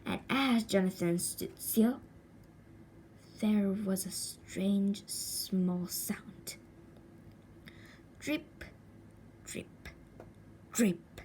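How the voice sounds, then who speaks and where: A woman reading a children's story aloud in an expressive, deliberate way with a sing-song lilt. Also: medium pitch, whispered. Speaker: storybook reader.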